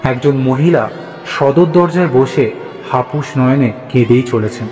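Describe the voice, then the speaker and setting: A man narrating a scripted horror story in a dramatic, deliberate way. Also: medium pitch, mellow, highs cut off, a faint crowd. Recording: echoey, reverberant, music behind.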